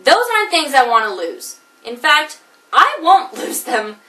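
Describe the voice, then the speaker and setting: A woman's voice: sits high, very animated, measured pace, sharp tone, roomy sound, recorded from a distance, exaggerated voice. A woman playing a jilted wife laughing through tears. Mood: wistful. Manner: wry, theatrical, teetering between laughter and tears. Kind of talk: comedic monologue performance.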